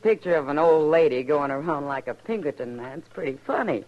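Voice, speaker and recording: A woman's voice: low-pitched, fairly quick, lightly acted. An actress playing a frank, self-assured woman who runs a frontier saloon in a radio western. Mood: teasing, amused. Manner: direct, wry. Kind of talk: old-time radio western acting. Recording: dull old radio recording.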